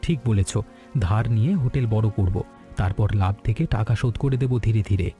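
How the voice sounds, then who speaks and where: Guy doing earnest hopeful village hotel owner, cartoon story, very dark mellow voice, music behind. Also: lowish voice, a little fast, lightly acted. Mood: eager, thoughtful. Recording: background music throughout.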